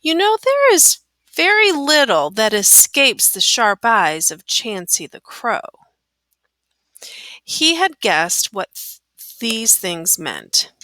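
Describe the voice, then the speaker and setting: A woman reading a children's story aloud with careful, expressive phrasing. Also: fairly high pitch, slow pace, no room sound. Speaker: storybook reader.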